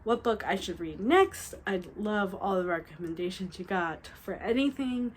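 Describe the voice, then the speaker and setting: A young woman with a slightly nasal voice from a cold, chatting excitedly through a book haul with fillers and restarts. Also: medium pitch, normal speed, a little hiss or hum. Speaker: book vlogger. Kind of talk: casual book haul chat.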